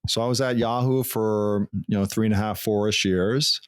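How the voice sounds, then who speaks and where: A man in his forties with a New York accent, a guest recounting his career in a thoughtful, measured way in an office interview. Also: lowish voice, fairly even intonation, smooth, no echo, studio quality. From Long Island, New York, US. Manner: thoughtful, measured, occasional restarts. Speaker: guest, former CFO. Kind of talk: conversational interview.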